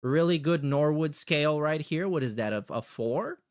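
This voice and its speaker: A man's voice: a little high, lively intonation, moderate pace, a little mellow. A man giving casual reaction commentary as a show host, swearing freely in a sarcastic, mocking manner. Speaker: podcast host reacting to clips.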